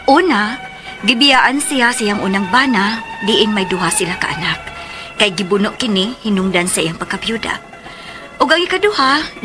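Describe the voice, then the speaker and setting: A woman playing a daughter who narrates her family's story in a radio drama, with a Cebuano Filipino accent. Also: fairly high pitch.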